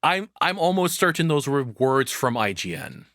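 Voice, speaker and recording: A man's voice: medium pitch, very animated, moderate pace, fairly bright tone, no room sound, studio quality. A man giving casual reaction commentary as a streamer, rambling along and swearing freely.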